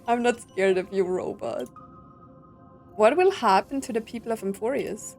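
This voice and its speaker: A woman's voice: mid-range voice, moderate pace, a little mellow, soft background music. A young woman streamer giving casual, chatty commentary on a game playthrough, blunt and full of fillers and small laughs. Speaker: gaming streamer.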